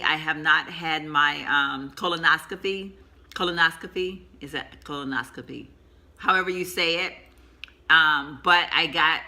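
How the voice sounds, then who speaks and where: A woman's voice: on the low side, sharp tone. A woman in her fifties, chatting in a rambling vlog and laughing often, her throat sore from a coming cold. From Texas, USA. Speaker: vlogger. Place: sitting at home.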